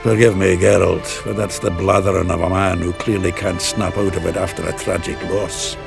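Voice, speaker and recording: A low, gravelly dwarf talks. He is blunt, earthy and hearty, a fantasy game warrior with music clearly behind him. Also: little pitch movement, normal speed. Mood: blunt urging. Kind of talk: video game voice acting.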